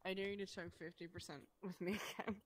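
A woman's voice: lowish voice, fairly even intonation, fast talker. A woman teasing and joking with other players during a drinking game in social VR. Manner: playful, teasing. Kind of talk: casual chat.

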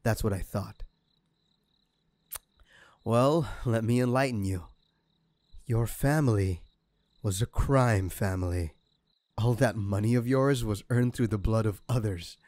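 Male crime villain, acted by a man, low mellow voice, smug menace, mocking, theatrical, audio roleplay. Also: no echo. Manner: mocking, theatrical, drawn-out delivery. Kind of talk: scripted ASMR audio roleplay.

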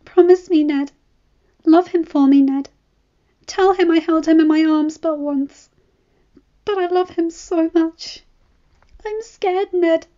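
A woman voicing a dying young mother, frightened and tender, pleading in a soft, high voice, read as a storybook. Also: slow, pauses often. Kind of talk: storybook reading. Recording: slightly dull lo-fi recording.

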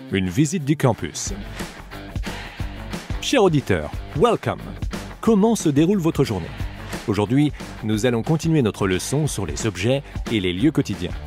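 Lively man narrating an audio lesson. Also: mid-range voice. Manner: encouraging, addresses the listener directly. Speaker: audiobook language teacher. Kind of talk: scripted bilingual lesson narration.

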